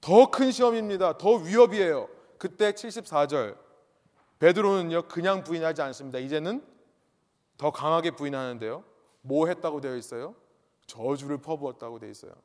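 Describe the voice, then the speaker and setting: High-voiced pastor preaching in a reverberant hall. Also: male voice, moderate pace, darkish tone. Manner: emphatic, repeats key points, asks the congregation rhetorical questions. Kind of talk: sermon.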